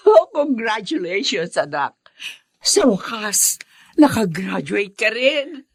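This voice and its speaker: An actress playing a loving, apologetic middle-aged mother of a poor family in a radio drama, with a husky voice. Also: mid-range voice, very animated, slow pace, no echo, faint music behind, heavily acted. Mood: proud, tender.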